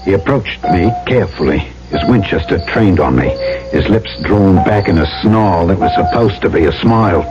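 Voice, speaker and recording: A man's voice: low-pitched, expressive, normal speed. A rugged, brave trader tells his own adventure in classic radio drama style. He moves between storytelling and heartfelt dialogue. The sound is dull and vintage, with prominent music. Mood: storytelling, dramatic. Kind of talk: old-time radio drama acting and first-person narration.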